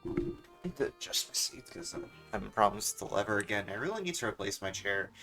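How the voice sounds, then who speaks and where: A young man streaming a game in a casual, chatty style. His voice is on the low side with plenty of fry and a bright, forceful edge, over faint music. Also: very animated, fast talker. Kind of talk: casual livestream commentary.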